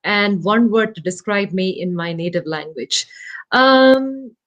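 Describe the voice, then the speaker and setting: A woman whose first language is Malayalam, speaking as an interview guest from her home over a remote call. She talks thoughtfully and modestly, pausing and restarting with fillers as she searches for the right words. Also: mid-range voice, a bit bright, highs cut off. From India. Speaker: guest, startup CEO. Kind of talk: casual interview talk.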